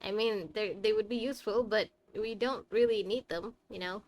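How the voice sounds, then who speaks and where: A woman's voice: mid-range voice, moderate pace, a little mellow. Wry woman streaming a video game. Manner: wry, teasing, sweary. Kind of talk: casual live playthrough commentary.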